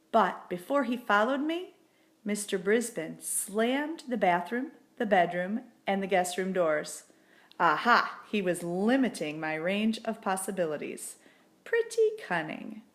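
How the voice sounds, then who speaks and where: Slow-paced woman reading a children's story. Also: medium pitch. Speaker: audiobook reader. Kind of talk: scripted children's story narration.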